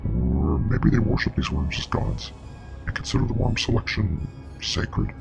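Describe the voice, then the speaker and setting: A man playing a grave, knowing investigator and parasite expert in a scripted web horror drama, explaining in a measured, clinical way with a breathy, gravelly voice. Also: low-pitched, sing-song, darkish tone, full character voice. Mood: clinical, ominous. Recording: music playing behind.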